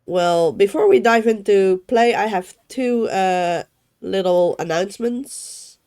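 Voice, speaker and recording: A woman's voice: mid-range voice, slow, a little mellow, clear, resonant tone. A sick, sniffly woman hosting a streamed tabletop game as game master, chatty and self-correcting as she rambles.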